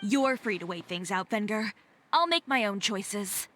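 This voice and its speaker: An actress playing a proud, cool-headed fashion designer who speaks in a curt, self-assured way. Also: female voice, mid-range voice, expressive, unhurried, a bit bright, faint music behind. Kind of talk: video game voice acting.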